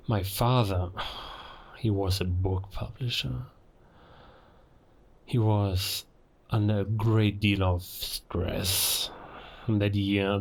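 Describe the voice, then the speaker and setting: A slow, husky werewolf played by a man, dark and low in tone. He broods with deliberate menace and bitterness, like a villain telling his own story in a cinematic audio drama. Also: heavily acted. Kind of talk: cinematic audio roleplay monologue.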